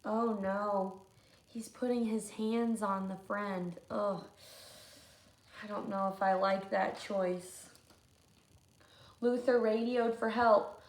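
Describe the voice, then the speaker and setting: A woman reading a picture book aloud to children like a teacher, adding expressive, chatty comments. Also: medium pitch, takes it slowly, long pauses, reverberant. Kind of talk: storybook read-aloud with asides to children.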